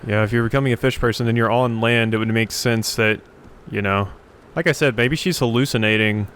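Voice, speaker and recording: Faint game ambience runs under a man's mid-pitched voice. He comments casually as a let's-player, thinking out loud with a wry streak. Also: steady tone, brisk, slight background noise.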